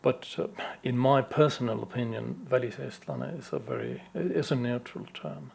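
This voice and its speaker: Slow gravelly guy at a conference q&a, lots of ums, faint room noise. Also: medium pitch, huge pitch swings, a little mellow. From Sweden. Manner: slow, hesitant, many fillers. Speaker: conference speaker. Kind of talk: answering audience questions.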